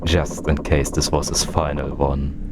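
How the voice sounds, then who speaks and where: A man voicing an introspective, melancholy twin in a visual novel, narrating in a measured, confessional manner. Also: rumbling low, level tone. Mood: wistful, somber. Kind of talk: scripted narration. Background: loud game music.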